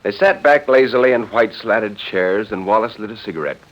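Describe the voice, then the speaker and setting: A man narrating a dramatic story in 1940s radio style, with a literary, expressive delivery. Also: fairly low pitch, moderate pace. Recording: dull vintage 1940s radio recording.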